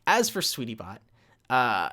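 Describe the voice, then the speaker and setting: A man's voice: medium pitch, huge pitch swings, a little slow, bright, forceful tone. A man giving an informal fan history presentation as a panel presenter, rambling hesitantly and often correcting himself.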